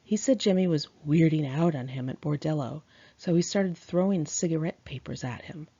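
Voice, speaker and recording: A woman's voice: sits low, normal speed, dark tone, slightly rough. A woman reading her own memoir aloud in a candid, chatty, sassy conversational style, on a dull lo-fi home recording.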